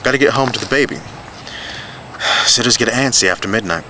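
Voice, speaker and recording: Man voicing young mother, raised pitch, evasive, matter-of-fact, storybook reading, noisy recording. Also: brisk, natural voice. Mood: casual, evasive. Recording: amateur video with background noise.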